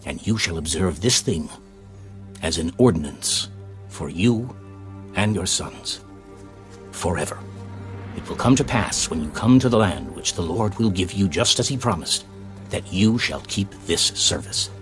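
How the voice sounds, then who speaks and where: Commanding ancient Hebrew leader, very low register, solemn and measured, biblical audio theater over music. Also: male voice, expressive. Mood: solemn, fervent. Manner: slow, weighty, solemn proclamation. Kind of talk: dramatized audio Bible acting.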